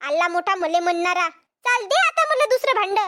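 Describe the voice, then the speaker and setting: A woman's voice: very high voice, sing-song, moderate pace, sharp tone, slight background noise, heavily acted. A woman voicing a bossy, crafty village aunt in a cartoon comedy, brash and scolding, with a Vidarbha Marathi accent. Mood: scolding, indignant. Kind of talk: exaggerated cartoon comedy acting.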